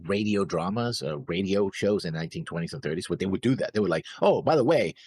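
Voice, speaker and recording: A man co-hosting a reaction show in casual chat, animated but halting, often correcting himself as he goes. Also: deep voice, slight background noise.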